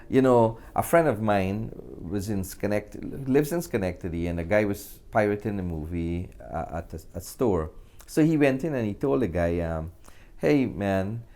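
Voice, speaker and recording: A Guyanese man speaks in a low-pitched voice, answering in a casual interview style. His phrasing is hesitant, with pauses partway through his sentences as he explains things. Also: a little mellow. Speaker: interview guest, actor and filmmaker.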